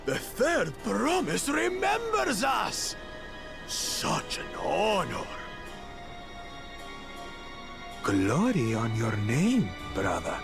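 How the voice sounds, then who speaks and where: Gravelly, wildly swooping reptilian bully. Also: male voice, high voice, huge pitch swings, slow, heavily acted. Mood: gloating. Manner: pompous, sneering, theatrical. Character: boastful, bullying two-headed reptilian brute, claimant to a throne. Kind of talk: scripted video game voice acting. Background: music.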